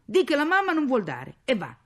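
A woman's voice: low voice, steady tone, normal speed, dark tone. A woman voicing a poor, harried mother who nags and scolds sarcastically but can turn tender, in a dramatic story reading. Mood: scolding. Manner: nagging, sarcastic, exclamatory.

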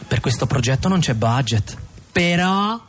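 Smug, affected young client. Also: male voice, mid-range voice, animated, unhurried. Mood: patronising, smug. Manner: affected, dismissive, slangy. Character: smug, frivolous young Milanese client glued to his phone. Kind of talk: satirical radio drama acting. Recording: music behind the voice.

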